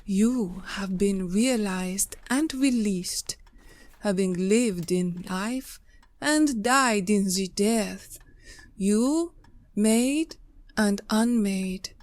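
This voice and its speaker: A woman's voice: medium pitch, darkish tone, dry recording. A woman playing a seductive, ageless fae siren in an audio play, speaking in a languid, incantatory manner. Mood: solemn, ritual.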